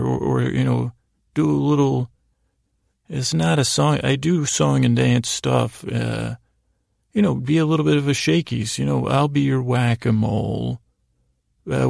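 A man hosting a bedtime podcast in a rambling, halting monologue, soothing and gently self-deprecating, with a gravelly voice. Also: mid-range voice, steady tone, no echo, clean studio sound. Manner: meandering, halting, frequently derailing his own sentences. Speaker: podcast host. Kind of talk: rambling bedtime monologue to help listeners fall asleep.

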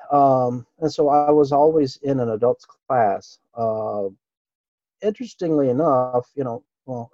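A man's voice: mid-range voice, no room sound, lo-fi sound. A middle-aged man from Okinawa whose first language was Japanese, recalling his childhood as an interview guest in an easygoing, hesitant way with lots of fillers. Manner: easygoing, hesitant, with frequent fillers and soft laughs. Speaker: interview guest, martial artist. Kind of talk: relaxed reminiscing interview talk.

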